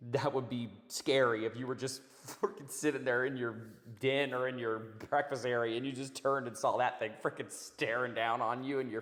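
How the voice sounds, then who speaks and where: Hoarse, raspy man with tunnel-like echo. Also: medium pitch, a bit bright. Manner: rambling, laid-back, says dude and man. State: hoarse after illness. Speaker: channel host. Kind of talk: casual commentary on clips.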